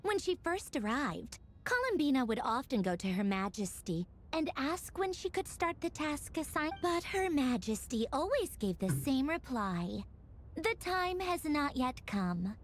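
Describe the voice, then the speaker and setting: A woman voicing a sharp-tongued, exasperated harbinger in an anime game, sardonic and dramatically emphatic. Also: sits high, very animated, unhurried. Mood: matter-of-fact. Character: sharp-tongued, exasperated female harbinger with long-held grudges. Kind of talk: anime video game dialogue.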